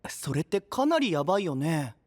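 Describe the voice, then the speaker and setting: Upbeat young anime knight, casual and friendly, very animated delivery. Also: male voice, fairly high pitch, moderate pace, dry recording. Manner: breezy, casual, friendly slangy talk. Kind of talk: anime-style audio drama acting.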